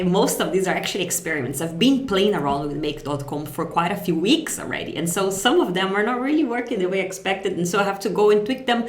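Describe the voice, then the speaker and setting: Tutorial presenter: woman, Brazilian accent, native Portuguese, slightly low pitch, conversational and explanatory, echoey room sound. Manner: conversational, explanatory, checks in with "right?" and "okay?". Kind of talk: casual step-by-step software tutorial.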